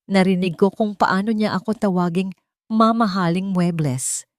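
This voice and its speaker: Casting: woman narrator as wealthy romantic male lead, earnest and emotional, slow intimate delivery, dry close sound. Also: medium pitch, no room sound, natural voice. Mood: wounded, grave. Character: earnest, tender wealthy man confessing hidden love. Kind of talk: dramatic romance audio story.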